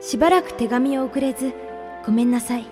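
A woman playing a polite, gentle young female pen pal reading her letters in a radio drama. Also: high voice, fairly quick, lightly acted. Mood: apologetic. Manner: polite, demure. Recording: clear music bed under the voice.

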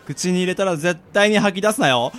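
A man's voice: high voice, brisk, a bit bright. A man playing a firm, bossy young man who urges his friends on in a comedy radio drama. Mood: stern. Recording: background music under the voice.

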